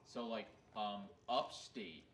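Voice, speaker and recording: A man from upstate New York, co-hosting a charity gaming stream and chatting loosely, with pauses and restarts. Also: fairly low pitch, fairly bright tone, far from the mic. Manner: chatty, rambling, quick to laugh. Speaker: marathon co-host. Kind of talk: casual chat. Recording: echoey room, off-mic, faint noise.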